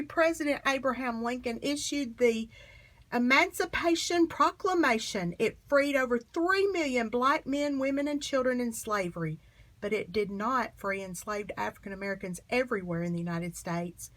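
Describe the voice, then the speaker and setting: A woman reading a children's history book aloud in an expressive, emphatic storytelling manner. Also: on the high side, normal speed. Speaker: storybook reader.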